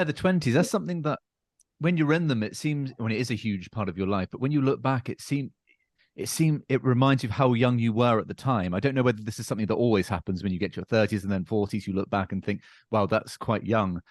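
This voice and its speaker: A man in his thirties, hosting an interview. He talks fast in a lowish voice and asks friendly questions. The sound is dry. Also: dry recording. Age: 30s. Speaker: podcast host. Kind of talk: casual interview chat.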